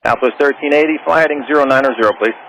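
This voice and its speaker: A man's voice: a little high, very fast, vocal fry. A man working as an air traffic controller, giving procedural instructions over aviation radio. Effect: air traffic radio transmission.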